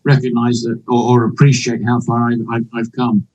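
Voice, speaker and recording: A man in his seventies with a husky voice, a retired pastor speaking haltingly in a news interview. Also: mid-range voice, monotone, dull audio, a crowd in the background. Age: 70s. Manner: halting, restarts words.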